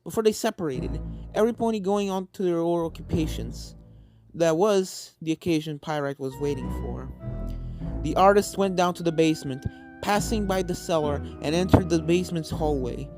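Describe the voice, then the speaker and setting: A man's voice: fairly high pitch, moderate pace. A man reading a scripted fan fiction story aloud as its narrator. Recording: game music under the voice. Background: video game soundtrack music.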